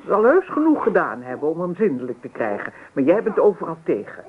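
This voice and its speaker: A woman's voice: deep voice, big pitch swings, brisk. An actress playing a fussy, reproachful older grandmother who scolds and dotes in a radio drama. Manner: reproachful, fussing, clucking with interjections. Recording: tinny, narrow-band, noisy old radio recording.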